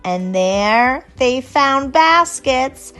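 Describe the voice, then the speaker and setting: High-pitched woman reading a lively children's storybook. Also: soft background music. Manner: expressive, unhurried storytelling. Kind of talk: storybook read-aloud in rhyme.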